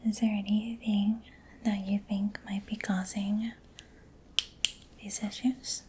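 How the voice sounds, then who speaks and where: A woman whispers softly and very slowly in an ASMR roleplay, playing a reassuring mechanic. Her voice is breathy and mellow, with gentle, level intonation. There is a faint wash of background noise. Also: medium pitch, flat delivery. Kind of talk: soft-spoken ASMR roleplay as a mechanic examining a client.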